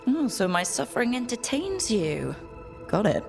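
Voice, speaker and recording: An actress playing a wry, encouraging young leader of a band of heroes in a video game. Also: female voice, a little high, big pitch swings, normal speed, gravelly, over music. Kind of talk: video game cutscene acting.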